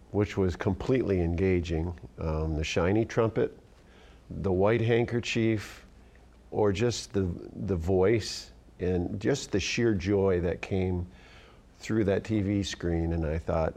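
Deep gravelly man in his fifties. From Northern New York. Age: 50s. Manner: soft-spoken, reflective, pauses often. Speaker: music educator guest. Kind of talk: relaxed interview answers.

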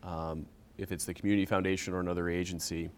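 Male interviewer, very deep voice, measured long-winded questions. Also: flat delivery, clear, resonant tone.

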